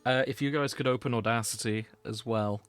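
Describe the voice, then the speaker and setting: A man's voice: mid-range voice, fairly even intonation, a little fast, clean tone, faint music behind. A man hosting a podcast in a casual, chatty style. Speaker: podcast host.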